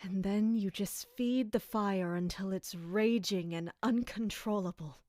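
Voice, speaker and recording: A woman's voice: medium pitch, unhurried, darkish tone, no echo, clean studio sound, close to an everyday voice. A woman playing an anguished, dutiful and bitter royal captain in an audio drama, brooding and emotionally intense. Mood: haunted, brooding.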